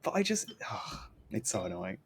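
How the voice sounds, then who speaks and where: A man with a fairly low voice talks casually on a game stream. He rambles and keeps cutting into his own sentences. A faint noise and rumble sit behind his voice. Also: sing-song, normal speed. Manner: rambling, self-interrupting. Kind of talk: casual gaming stream chat.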